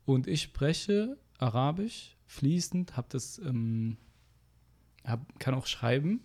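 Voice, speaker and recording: A young man in casual conversation, telling stories in an animated way with slangy fillers, getting louder when he is indignant. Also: mid-range voice, slow. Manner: animated storytelling, rising loud when indignant. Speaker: guest.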